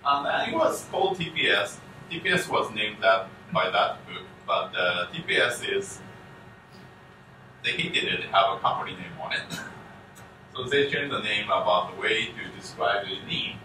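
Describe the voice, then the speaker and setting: A man's voice: fairly low pitch, expressive, bright, forceful tone. Man in an echoey hall. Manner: halting non-native English, frequent restarts. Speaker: conference speaker. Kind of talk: conference keynote talk. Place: conference room with projector screen. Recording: echoey room, distant mic, faint hum.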